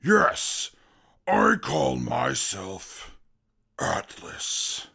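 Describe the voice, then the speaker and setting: Haughty mutant brute, very gravelly, husky, formal and short-tempered, post-apocalyptic radio drama. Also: male voice, deep voice, wildly swooping pitch, full character voice. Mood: smug, formal. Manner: formal, deliberate speech flaring into shouted outbursts, then apologizing. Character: educated super mutant brute, proud, short-tempered, self-styled genius.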